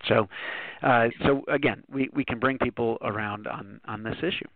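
A man, an author, talking as a guest in a phone-in radio interview, lively, repeating words as he starts his points. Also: medium pitch, moderate pace, vocal fry, no echo. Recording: dry, tinny phone line.

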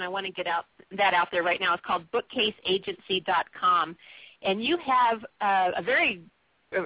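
A woman's voice: mid-range voice, no echo. A woman radio host leads a conversation with her guests, often restarting her sentences. Her voice comes across thin and dry. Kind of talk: interview hosting. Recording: dry tinny radio sound.

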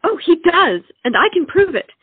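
A woman playing a high-voiced, insistent young sweetheart. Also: very animated, normal speed, no room sound. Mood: indignant, proud. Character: earnest, romantic, insistent young lady in love. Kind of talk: stage comedy acting. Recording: tinny, narrow-band sound.

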